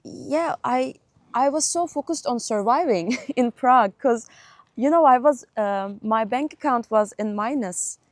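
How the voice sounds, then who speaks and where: A woman's voice: on the high side, unhurried, dry recording. Chatty Turkish-born woman, dry close sound. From Turkey. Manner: chatty, laughs often, hesitant fillers. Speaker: guest musician. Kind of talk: casual interview chat.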